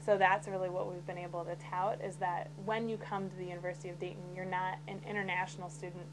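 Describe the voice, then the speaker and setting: A woman's voice: fairly low pitch, flat delivery, fast, noisy background. A woman who works at a university's international programs office, talking in an interview in a chatty, casual way with fillers.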